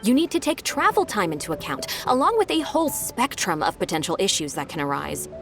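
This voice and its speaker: A woman playing a diligent, eager young secretary in a video game, brisk and polite as she methodically explains things. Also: mid-range voice, very animated, music in the background. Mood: brisk, earnest.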